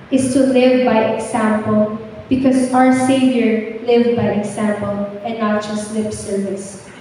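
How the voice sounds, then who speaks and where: A woman, a camp counselor giving an earnest, measured testimony that encourages the church. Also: fairly high pitch, mellow, slight background noise. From Philippines. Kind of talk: prepared church testimony with exhortation. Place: large church hall. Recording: echoey hall, mic from far off.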